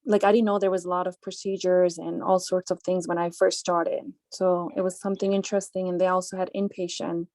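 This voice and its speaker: A young medical graduate, a woman from New Orleans, answering interview practice questions hesitantly with many pauses. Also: on the low side, little pitch movement, a little mellow, no echo. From born in Pakistan, grew up in New Orleans.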